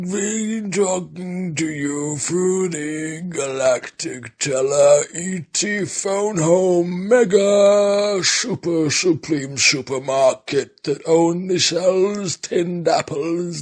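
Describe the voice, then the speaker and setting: A man's voice: a little high, a little mellow, husky. A man performing voice samples in a hammy, exclamatory, theatrical manner. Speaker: voice actor recording sample lines.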